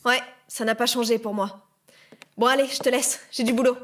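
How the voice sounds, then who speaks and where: An actress playing a bossy, teasing criminal sister, brusque and familiar, in an audio drama. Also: female voice, on the high side, steady tone, moderate pace, slight background noise, lightly acted.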